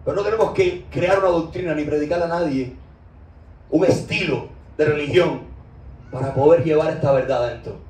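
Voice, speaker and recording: High-voiced Cuban man preaching in echoey room. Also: a little fast, a little mellow. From Cuba. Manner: impassioned, with loose conversational asides. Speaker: spiritual teacher. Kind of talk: informal sermon. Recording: roomy echo, distant mic.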